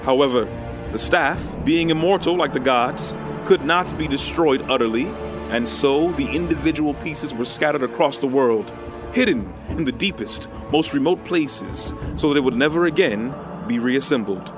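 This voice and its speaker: A man's voice: mid-range voice, normal speed, over music, close to an everyday voice. A man playing an earnest, exasperated priest in a fantasy audio drama, with a dry, disapproving, solemn delivery. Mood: solemn storytelling. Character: earnest, exasperated young male priest on pilgrimage.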